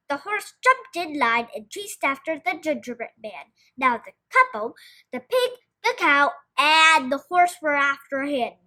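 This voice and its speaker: A woman reading a children's story aloud with expressive scripted narration. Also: high-pitched.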